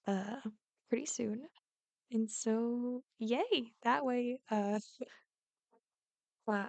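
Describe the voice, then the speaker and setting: A woman's voice: fairly high pitch, vocal fry, no echo. A woman content creator chatting casually to her viewers in a giggly, rambling way. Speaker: ASMR roleplay creator.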